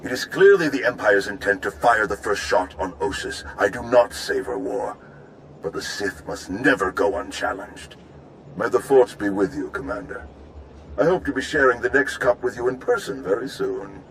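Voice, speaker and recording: Deep, commanding male general. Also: animated, a bit bright, slight background noise, poor audio. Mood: grave, resolute. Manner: measured, formal, grave. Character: stern, resolute older male Republic general. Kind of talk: dramatic video game acting.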